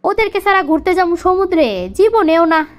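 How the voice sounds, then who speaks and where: Casting: female actor as demanding young cartoon wife, extremely high-pitched, Bangladeshi accent, scolding and insistent. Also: lively intonation, normal speed. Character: bossy, demanding young wife devoted to her girlfriends. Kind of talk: comic cartoon dialogue in colloquial dialect.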